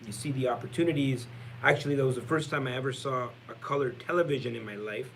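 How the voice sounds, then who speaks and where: Male, forties, podcast guest, bright forceful voice, reflective halting storytelling, faint noise. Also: medium pitch, rough recording. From born in El Salvador, moved to Canada at nine. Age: 40s. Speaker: podcast guest, real estate entrepreneur. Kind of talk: personal storytelling in an interview.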